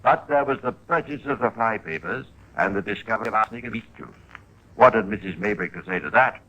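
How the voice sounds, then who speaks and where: A man hosts a 1950s radio crime drama with scripted narration. His voice is fairly low but bright and forceful. He speaks in a measured, theatrical way, leaning on key words. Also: animated, muffled recording. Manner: measured, theatrical emphasis with rhetorical questions. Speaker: narrator and host.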